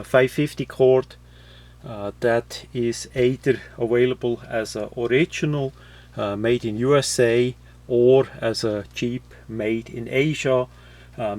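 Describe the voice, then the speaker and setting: Guy doing a diy walkthrough, talks real slow, lots of pauses, medium-low voice. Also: a little mellow. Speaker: presenter of a how-to video. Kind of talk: unscripted step-by-step tutorial talk.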